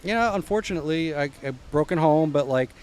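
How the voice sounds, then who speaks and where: A man's voice: fairly high pitch, quick pace, darkish tone, a little hiss or hum. A middle-aged man from New Jersey, chatting as a podcast guest, telling long stories, dropping names and trailing off with fillers. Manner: rambling storyteller, drops names, trails off with "uh". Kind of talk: casual chat.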